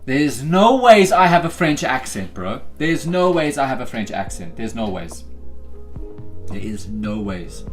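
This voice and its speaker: Excitable South African streamer chatting to viewers. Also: male voice, unhurried, faint music behind. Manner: hypes himself and the chat, then drops into low joking asides. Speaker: day-trading livestream host. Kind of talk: casual live-stream chat.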